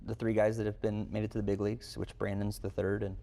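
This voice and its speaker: A man's voice: fairly low pitch, monotone, quick pace, gravelly, mellow. A man speaking as a college coach in an interview, conversational and earnest, building points through repetition. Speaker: college baseball head coach, interview guest. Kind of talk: unscripted interview answers.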